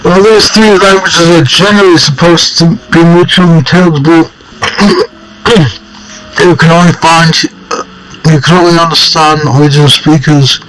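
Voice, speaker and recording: A man with a slightly high voice reads a text adventure game aloud. He makes amused side comments as he goes. He clears his throat now and then and rereads phrases he stumbles over. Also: a bit bright, poor audio. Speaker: game player reading aloud.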